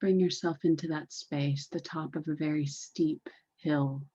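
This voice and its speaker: Low, slow, hushed-toned woman guiding meditation. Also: steady tone, breathy edge, lo-fi sound. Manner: slow, deliberate, repetitive, soothing delivery. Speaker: meditation guide. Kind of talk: guided meditation.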